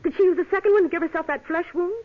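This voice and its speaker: An actress playing a persistent, exasperated young woman, a sleuth's companion, in a 1950s radio drama, with crisp, insistent questioning. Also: extremely high pitch, very fast. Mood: puzzled, insistent. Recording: muffled 1950s radio recording.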